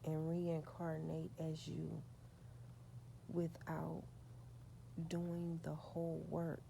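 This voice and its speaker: A woman, telling a spiritual story in a slow, confiding way that often drops to a whisper. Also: low-pitched, monotone, vocal fry, slight background noise, rough recording. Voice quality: soft voice. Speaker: vlogger.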